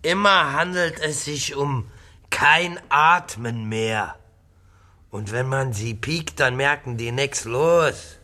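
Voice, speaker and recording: An actor in a radio play as a drunken, cranky, coarse tenant who rambles and jeers. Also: male voice, medium pitch, slow, sharp tone. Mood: brooding. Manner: blunt, coarse, jeering, swinging between barking and muttering. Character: drunken, cranky, rambling jobless male tenant, newly widowed. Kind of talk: radio drama acting.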